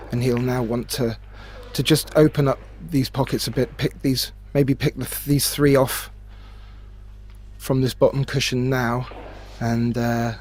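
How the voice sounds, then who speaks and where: Man commentating, measured and hesitant. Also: medium pitch, a little mellow, slight background noise. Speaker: sports commentator. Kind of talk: live pool commentary, conversational. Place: remote commentary from his own location.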